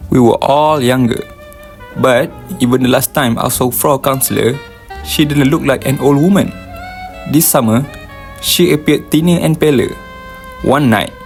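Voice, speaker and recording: A man playing a weary, formal gentleman near sixty in a stage play, speaking in a measured, slightly halting, melancholy way. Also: mid-range voice, close to an everyday voice. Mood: reminiscing. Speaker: stage actor.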